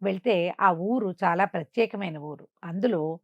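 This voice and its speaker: A woman's voice: lowish voice, moderate pace, no room sound, dull audio. A woman telling a tale in a chatty, explanatory, conversational way, checking in with her listener. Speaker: storyteller. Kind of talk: conversational oral storytelling.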